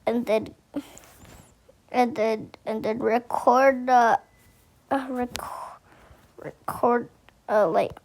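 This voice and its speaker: A woman giving a casual how-to tutorial, speaking haltingly and repeating herself as she thinks aloud. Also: on the high side, fairly even intonation, a little mellow. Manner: halting, repetitive, thinking aloud while demonstrating. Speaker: tutorial host.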